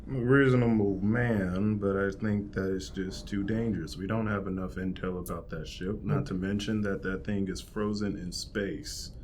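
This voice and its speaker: A man playing a cautious, reasonable ship's doctor in a tabletop game, measured and wary. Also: low voice, fairly even intonation, darkish tone, a little hiss or hum, lightly acted. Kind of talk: improvised tabletop roleplay acting.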